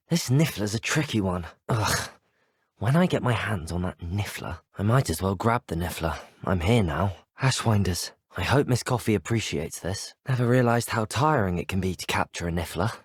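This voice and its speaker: An earnest teenage student wizard, the helpful hero of a fantasy video game. His British voice sits fairly low with a husky grain and moves through expressive rises and falls. He speaks politely and with measured, well-spoken curiosity. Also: dry recording, clean studio sound. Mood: musing, determined. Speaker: video game player character. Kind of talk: scripted game voice acting.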